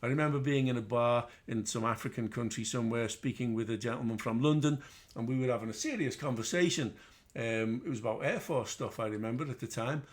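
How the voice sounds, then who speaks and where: A middle-aged man with a Liverpool accent, hosting a casual trading webinar in a chatty, rambling way with self-deprecating asides. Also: medium pitch. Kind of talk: casual live webinar teaching.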